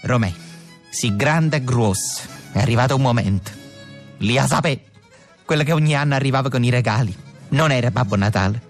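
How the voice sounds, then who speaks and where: An actor playing a mob boss's wife with a Neapolitan accent, mournful and theatrical, in a comic radio drama. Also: male voice, medium pitch, brisk, pauses often, soft background music, lightly acted. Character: mob mother, dramatic and resigned.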